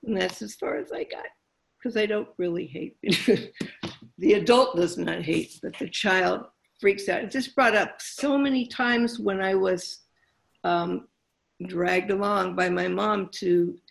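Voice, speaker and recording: A deeply gravelly, fry-heavy voice speaks in a support group. The speaker shares in a halting, hesitant way, pausing often on fillers while reading from notes. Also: a bit bright, a little hiss or hum, low-quality recording.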